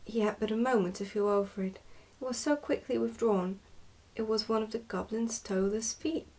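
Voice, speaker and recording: A woman reads a storybook in a hushed ASMR manner, slipping at times toward a whisper. Her delivery is careful and deliberate, and she sounds a little way back from the microphone. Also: a little high, steady tone, far from the mic. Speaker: ASMR host reading a book aloud. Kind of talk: ASMR storybook reading, soft and partly whispered.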